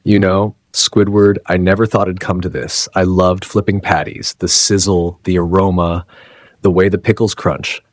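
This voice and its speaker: Naive cartoon sea sponge , read by a man: earnest delivery, low and husky. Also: little pitch movement, normal speed. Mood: wistful.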